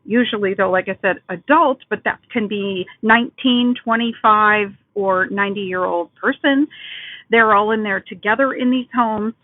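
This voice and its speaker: A woman's voice: medium pitch, normal speed, no room sound, narrow, tinny audio. A middle-aged woman, a nurse, explaining in a friendly way and asking practical questions as she tours a care home. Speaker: nurse host. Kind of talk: informative vlog narration and tour questions.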